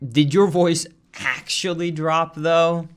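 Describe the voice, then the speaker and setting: A young man with a Swedish accent, chatting casually and reacting loudly while he streams a game. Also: fairly high pitch, fairly even intonation, takes it slowly, clean tone, faint music behind. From Sweden. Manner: casual, chatty, swears and flares up over game lag. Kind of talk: live-stream gameplay commentary.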